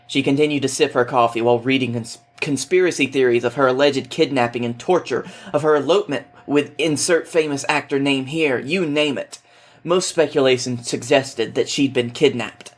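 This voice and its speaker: A man with a medium-pitched voice speaks as a guest on a podcast. His delivery is casual, suited to both chatting and reading a story aloud.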